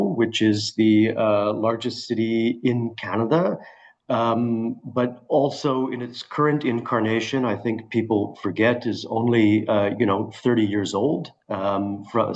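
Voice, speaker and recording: A man with a slightly froggy voice from a cold, speaking in measured terms on a webinar panel. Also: mid-range voice, lo-fi sound. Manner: measured, with frequent ums. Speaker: orchestra representative.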